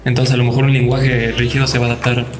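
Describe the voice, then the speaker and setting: A man's voice: medium pitch, monotone, fast talker. A man chatting on a casual podcast panel, thinking aloud hesitantly. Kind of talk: casual group discussion.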